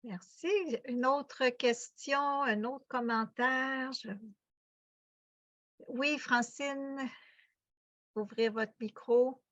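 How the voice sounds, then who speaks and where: A woman's voice: sits high, steady tone, very slow, pauses often, a bit bright, muffled recording. A woman moderating an online conference, handing the floor to participants.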